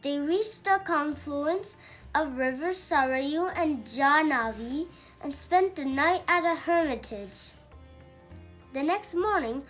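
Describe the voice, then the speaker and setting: A young child narrates a mythological tale with a very high, lively voice that swings up and down. The reading is slow and deliberate, with strong emphasis and the odd stumble, and music plays along with it. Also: rough recording. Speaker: young storyteller reading aloud. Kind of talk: read-aloud mythological storybook narration.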